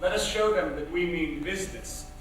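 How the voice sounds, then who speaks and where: An actor playing a brisk, commanding young theatre man, declaiming dramatically on stage. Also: a little high, a bit bright, lightly acted. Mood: brisk, insistent. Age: young adult. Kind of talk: theatrical stage acting, projected storytelling. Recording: echoey theatre, far mic, faint noise.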